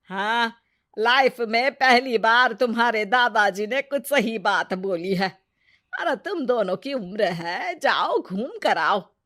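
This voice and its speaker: A woman's voice: fairly high pitch, lively intonation, slow pace, pauses often, fairly bright tone. A woman playing a teasing, bossy but affectionate old grandmother in a cartoon story, with a scolding manner. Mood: insistent. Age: older. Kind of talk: cartoon moral story acting.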